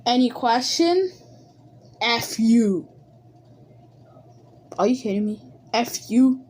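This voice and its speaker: A high-voiced woman playing squabbling cartoon alphabet letters in a homemade animated skit. The delivery is slow and halting, with repeated phrases and a very lively melody. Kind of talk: improvised amateur animated skit dialogue.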